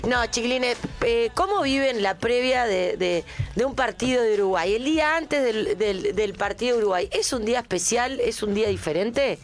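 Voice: medium pitch, moderate pace, soft background music. A host of a casual panel chat who teases the guests and asks them questions.

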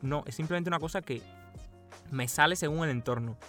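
Young man from cuba, madrid spanish accent, relaxed podcast talk, laughs a lot, faint music. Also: fairly high pitch, brisk. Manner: relaxed, laughs often, explains at length. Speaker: podcast co-host. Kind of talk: casual chat.

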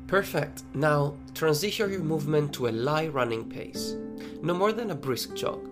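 A man narrating a guided running meditation as a coach, giving gentle instructions, with plucked guitar behind him. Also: on the high side, animated, normal speed. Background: plucked guitar music.

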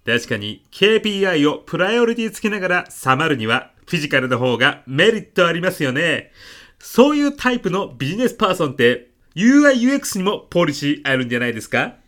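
Man acting a lively, bright middle-aged salesman. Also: fairly high pitch, fast talker. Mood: slick, jargon-laden. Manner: polite, hesitant, protesting. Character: earnest, meek middle-aged salesman, easily flustered. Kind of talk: comedic radio drama acting.

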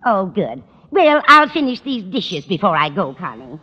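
An absent-minded landlady in an old radio sitcom. She sounds earnest and muddled, with very lively intonation. The recording is dull and carries a long reverb. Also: medium pitch, normal speed, husky. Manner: vague, earnest. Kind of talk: 1940s radio sitcom acting.

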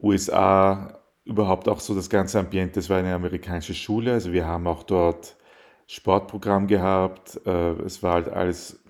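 Low-voiced man, hesitant and thoughtful. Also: level tone, normal speed, a little mellow. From Austria. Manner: thoughtful, hesitant with fillers and restarts. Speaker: interviewee. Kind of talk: casual interview.

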